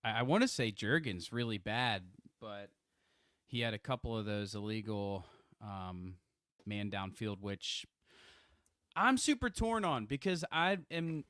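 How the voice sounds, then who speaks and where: A young man co-hosts a casual sports podcast and rambles through his points with restarts and sudden bursts of excitement. His voice is smooth and clear. The sound is dry and close to the mic. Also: on the low side, a little slow, dry recording. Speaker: podcast co-host.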